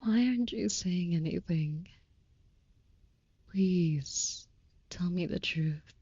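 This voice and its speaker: A woman voicing a devoted, youthful but centuries-old vampire lover in an intimate audio roleplay, speaking softly with breathy, tender, archaic formality. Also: on the low side, takes it slowly, no room sound, lo-fi sound. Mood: worried, insistent.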